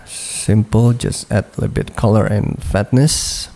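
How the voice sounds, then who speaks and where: Slow, gravelly man explaining a music project. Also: on the low side, animated, dark tone. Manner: slow, hesitant walkthrough with frequent "uh" and "okay". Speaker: music producer. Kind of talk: screen-recorded production tutorial.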